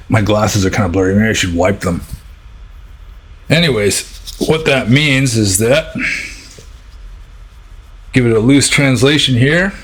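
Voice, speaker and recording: A man with an American accent and a husky voice, talking informally and a little ramblingly as a Buddhist teacher answering questions. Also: medium pitch, a bit bright. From United States.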